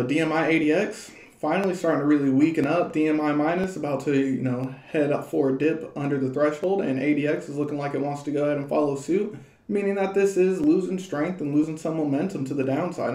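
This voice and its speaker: Young man, hoarse, casual crypto chart talk. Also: fairly high pitch, fairly quick, mellow, roomy sound. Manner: loose and rambling, many fillers and restarts. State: slightly hoarse. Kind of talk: casual market commentary over charts. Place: small room at home.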